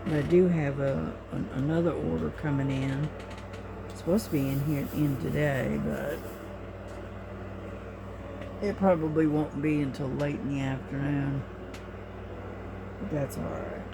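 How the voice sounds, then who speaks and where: A middle-aged woman with a low voice, talking quietly and sleepily in a rambling way while diamond painting at home, recorded on a cell phone. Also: a little slow, vocal fry, long pauses, background noise. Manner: quiet, soft-spoken and rambling. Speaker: crafting vlogger.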